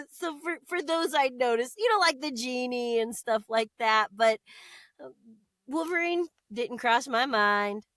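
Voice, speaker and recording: An American woman chatting casually as an interview guest, expressive and chatty. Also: sits high, measured pace, no echo. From United States.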